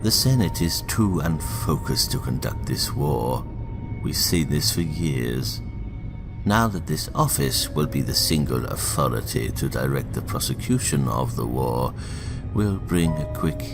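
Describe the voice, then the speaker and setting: A man in a fan audio drama playing an elderly, cunning politician, speaking in a measured, theatrical, insinuating way with a gravelly voice. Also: extremely low pitch, animated, exaggerated voice. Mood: grave, confiding. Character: elderly, cunning politician, smooth, avuncular and manipulative. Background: music.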